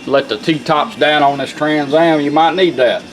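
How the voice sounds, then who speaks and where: Male demo presenter with a fairly high voice, homespun and casual, radio music playing behind him. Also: measured pace. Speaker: presenter demonstrating a car radio. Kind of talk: casual product demonstration. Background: car radio music.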